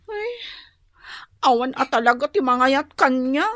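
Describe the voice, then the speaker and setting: A woman's voice: high voice, expressive, a little slow, a bit bright, dry recording, studio quality. An actress playing a giggly, self-deprecating, lovestruck young single woman in an Ilocano comedy radio drama, with an Ilocano accent. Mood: whiny, self-pitying. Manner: exaggerated, whiny and giggly.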